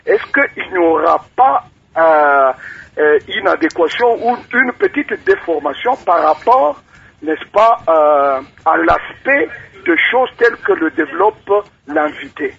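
A man's voice: on the high side, very animated, slow, creaky, breathy edge. A man with a Congolese accent calling a radio show and putting a question at length. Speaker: radio caller. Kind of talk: asking a question on air. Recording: dull telephone line on radio.

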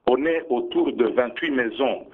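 Husky, insistent Congolese man, phone-like sound. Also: medium pitch, a little slow. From Bunia, DR Congo. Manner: insistent, emphatic, repeats points. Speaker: government minister being interviewed. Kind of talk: defensive radio interview answers. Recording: tinny phone-like radio sound.

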